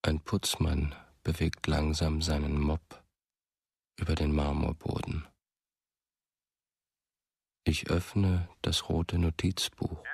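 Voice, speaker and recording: A brooding narrator in a radio drama. The voice is extremely low with heavy creak, delivered in a flat, measured and introspective way. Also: male voice, no echo, full character voice. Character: brooding first-person narrator, disoriented yet lucid.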